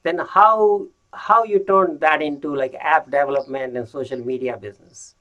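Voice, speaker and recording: A man conducting a casual interview, speaking haltingly and often repeating his words as he starts a thought. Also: on the high side, fairly even intonation, fairly bright tone. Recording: dull lo-fi audio.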